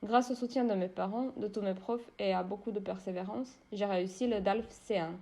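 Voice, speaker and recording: Croatian woman, 20s, testimonial; low fry, warm tone, composed. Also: fairly even intonation, a little fast. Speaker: architecture student. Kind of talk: personal testimony.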